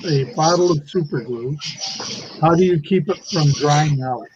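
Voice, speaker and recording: Guy with a highish voice chatting on a panel, dull audio, noisy background. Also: measured pace. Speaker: panelist on a woodworking chat show. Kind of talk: casual group chat. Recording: dull lo-fi audio with clear noise.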